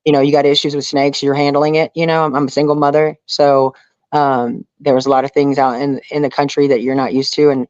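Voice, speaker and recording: A woman's voice: very deep voice, little pitch movement, brisk, darkish tone. A woman from Texas chats as an interview guest, telling stories candidly and with some drama. The sound is dull and band-limited, with no room echo at all. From Houston, Texas area. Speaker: interview guest, outdoorswoman and reality show contestant. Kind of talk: casual storytelling in an interview.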